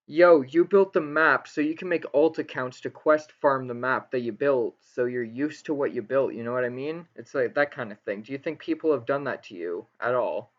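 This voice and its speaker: A man's voice: mid-range voice, normal speed, hardly any pauses. A man hosting a casual gaming interview, talking in an informal, rambling, slangy way. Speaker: interviewer, gaming channel host. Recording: dull lo-fi voice recording.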